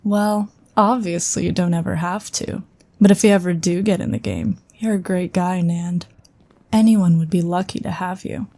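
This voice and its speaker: A woman's voice: on the low side, fairly even intonation, moderate pace, mellow. A woman playing an earnest psion colleague. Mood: warm. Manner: earnest and direct. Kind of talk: fan audio drama acting.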